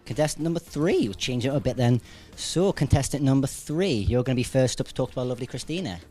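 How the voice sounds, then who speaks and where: Fast-talking young male radio host. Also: medium pitch, expressive, mellow. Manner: brisk, jokey. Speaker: studio host with contestants. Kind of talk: casual radio hosting.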